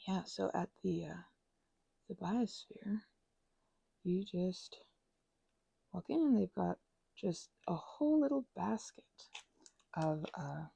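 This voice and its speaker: A woman in a rambling, soft-spoken ASMR craft chat, talking in a playful put-on Scottish accent and drifting between quiet talk and whispers. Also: medium pitch, creaky, husky, no room sound, poor audio.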